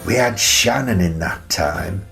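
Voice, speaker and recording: An actor playing a gentle, wistful, eccentric old British grandfather in a radio play monologue, rambling reflectively with hesitant pauses. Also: deep voice, creaky, soft background music, full character voice. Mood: fond, nostalgic. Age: older.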